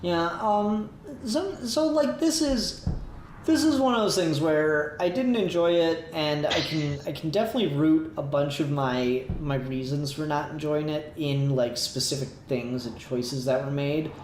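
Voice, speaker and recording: Higher-voiced young man, roomy podcast sound. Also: a little slow. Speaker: podcast host leading a review. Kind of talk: casual review chat. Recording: roomy home recording with faint noise.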